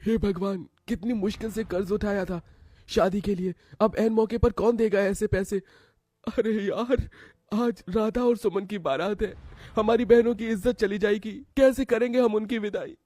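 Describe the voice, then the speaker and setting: A man's voice: high-pitched, steady tone, moderate pace, mellow, no room sound. A man voicing a self-sacrificing, protective young brother from a poor family in a cartoon story, earnest and emotional. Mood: desperate.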